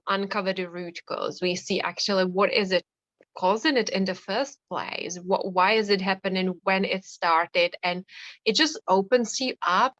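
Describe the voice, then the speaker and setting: A Czech-accented woman in her thirties talks in a chatty, animated way and laughs easily as she speaks. Her voice sits at an ordinary middle pitch. The recording is close and dry, with no room sound. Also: no echo. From Czech Republic. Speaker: hypnotherapist guest. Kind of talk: casual interview storytelling.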